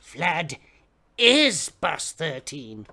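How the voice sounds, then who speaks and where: An eerie old drifter from a comic horror story, acted out by a man reading aloud. He is odd and intense, drawing out his ominous warnings like a conspirator, with a bright, swooping edge to the voice. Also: on the high side, very animated, drawn-out words, creaky, exaggerated voice. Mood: feverish, secretive.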